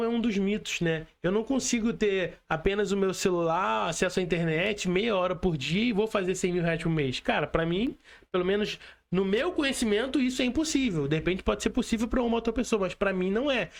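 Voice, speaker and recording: A man's voice: high-pitched, gravelly. A man with a Carioca Brazilian Portuguese accent hosting a podcast interview over a call, chatty and slangy with lots of fillers. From Rio de Janeiro, Brazil. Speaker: podcast host. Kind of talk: casual interview chat. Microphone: remote call.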